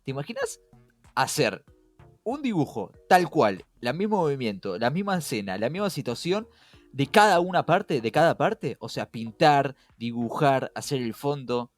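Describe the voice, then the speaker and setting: Male podcast host, Rioplatense Spanish accent, animated intonation, engaging and questioning, chatty explainer over background music. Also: mid-range voice, normal speed. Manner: engaging, asks rhetorical questions. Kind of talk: casual conversational podcast explainer. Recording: music behind.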